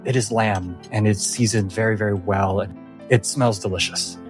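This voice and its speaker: A man's voice: on the low side, steady tone, normal speed. A man improvising scene narration as game master of a tabletop fantasy game. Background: background music.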